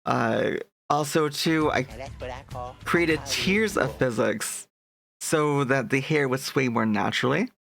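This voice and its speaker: A man's voice: medium pitch, takes it slowly, creaky, fairly bright tone. A young man chatting casually on a live stream, rambling and restarting his sentences as he greets his chat.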